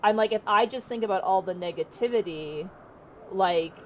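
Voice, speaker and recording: A woman with a naturally low voice, rambling casually with lots of fillers while streaming. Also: normal speed, slight background noise, rough recording. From Canada. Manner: rambling, many fillers and restarts. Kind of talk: casual chat on a livestream.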